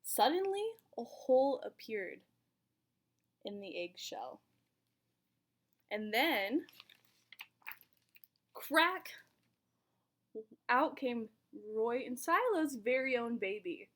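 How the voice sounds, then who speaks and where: A woman reading a children's picture book aloud in an expressive storytelling manner, with dramatic pauses. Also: a little high. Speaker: volunteer story reader.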